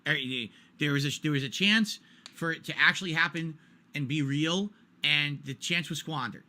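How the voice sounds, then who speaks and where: A man's voice: on the high side, normal speed, bright, forceful tone. A man in his forties ranting during a stream, exasperated and repetitive, hammering his points home. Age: 40s.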